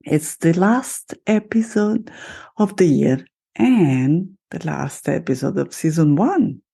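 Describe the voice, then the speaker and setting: A woman's voice: low-pitched, lively intonation, takes it slowly, creaky, warm tone, slightly rough. A middle-aged woman with an Italian accent, a podcast host and life coach talking alone to her listeners in an upbeat, proud manner. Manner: upbeat, self-celebrating, talks straight to listeners. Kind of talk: solo podcast monologue.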